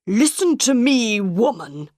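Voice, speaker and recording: A woman reading a story aloud and voicing a hot-tempered male opera director, loud and indignant. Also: fairly high pitch, very animated, slow, no echo, clean studio sound. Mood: angry.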